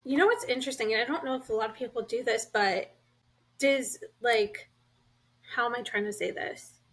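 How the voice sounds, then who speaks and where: A woman co-hosting a podcast in casual chat, asking questions and thinking out loud. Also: a little high, normal speed, slightly rough, low-quality recording. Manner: relaxed, asks questions, thinks aloud. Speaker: podcast co-host.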